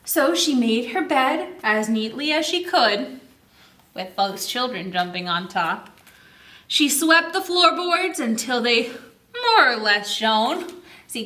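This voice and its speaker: Woman doing kids story time, high bright voice, asks lots of questions, bit echoey. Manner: expressive, asks the listening children questions, exaggerated slow delivery. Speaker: children's librarian and story time host. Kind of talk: read-aloud picture book story time for young children.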